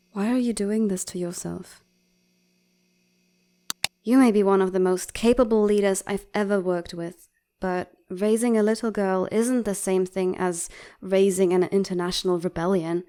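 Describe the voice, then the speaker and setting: A woman's voice: medium pitch, darkish tone, close to an everyday voice. A woman playing an outspoken, protective bird doctor at an academy in a comic fandub, articulate, insistent and reproachful. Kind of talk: dramatic comic fandub acting.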